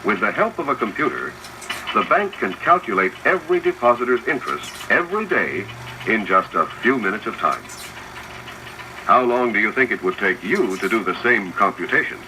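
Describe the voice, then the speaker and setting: An old film soundtrack with clear projector noise carries a man's low voice. He narrates in the instructive manner of a 1970s classroom film, with pitch that rises and falls in a lively way as he addresses the viewer. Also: moderate pace, low-quality recording. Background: mechanical projector noise.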